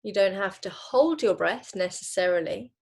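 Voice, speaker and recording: A woman's voice: mid-range voice, animated, vocal fry. Woman guiding a relaxation. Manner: unhurried, gently instructing with pauses. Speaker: yoga nidra teacher. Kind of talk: guided relaxation.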